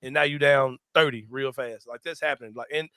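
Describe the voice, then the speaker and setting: Young man, sports host: bright, forceful voice, slangy and animated, recorded dry. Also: mid-range voice, fairly quick, clear, resonant tone, no room sound. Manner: animated, slangy, laughs a lot. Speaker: sports talk show host. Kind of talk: casual sports chat.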